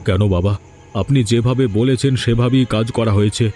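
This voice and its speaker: A man's voice: mid-range voice, normal speed, warm tone, natural voice. A man voicing an anxious, scheming village man in a cartoon fairy tale. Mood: distressed. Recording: background music under dialogue.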